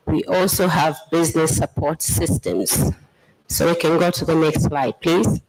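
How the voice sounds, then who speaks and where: Slow-paced Zambian presenter, sex unclear. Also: mid-range voice, steady tone, darkish tone, poor audio. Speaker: government trade official and presenter. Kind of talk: formal slide presentation.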